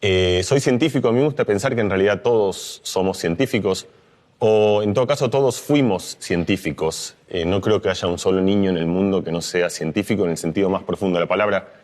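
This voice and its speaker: Man, low voice, middle-aged, reflective, explanatory talk. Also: brisk, darkish tone. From Argentina. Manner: thoughtful, reflective, with frequent fillers and restarts. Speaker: neuroscientist speaker.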